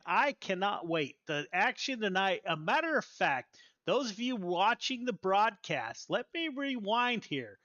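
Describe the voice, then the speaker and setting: A man's voice: sits high, bright, forceful tone, dry recording, muffled recording. A man in his fifties hosting a sim racing broadcast, chatty, folksy and enthusiastic. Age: 50s. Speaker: race broadcast host. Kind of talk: sim racing commentary and interview.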